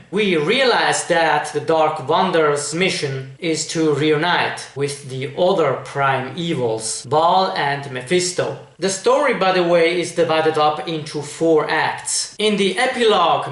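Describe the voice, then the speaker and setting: A man presenting a reaction review as an online host, with measured, formal wording addressed straight to viewers. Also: on the high side, fairly bright tone. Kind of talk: reaction review commentary to camera. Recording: echoey, reverberant room.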